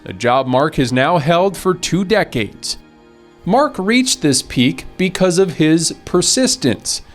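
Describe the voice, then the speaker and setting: A man with an American accent reading scripted narration for a sports feature. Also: a little high. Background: background music.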